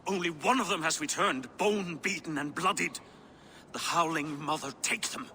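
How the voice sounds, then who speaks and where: A man playing an indignant, blustering Viking warrior in a video game, speaking forcefully. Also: a little high, moderate pace, a little hiss or hum. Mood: bitter anger. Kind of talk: video game dramatic acting.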